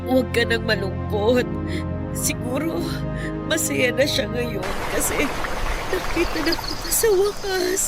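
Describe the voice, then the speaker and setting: A woman's voice: high voice, expressive, unhurried. A woman playing a loving, emotional Filipino mother in a radio drama, speaking tenderly and with heartfelt feeling. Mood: sad, consoling. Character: loving, emotional elderly mother reunited with her lost son. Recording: loud music under the voice.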